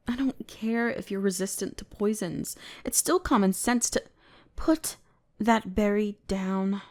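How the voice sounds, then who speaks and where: A haughty young-lady elf mage from a fantasy roleplay audio , acted by a woman. She speaks in a mid-range female voice and draws out her words slowly. Her delivery is bossy and condescending, easily flustered and exasperated. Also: mid-range voice, darkish tone. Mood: exasperated scolding. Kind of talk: scripted roleplay audio drama addressed to the listener.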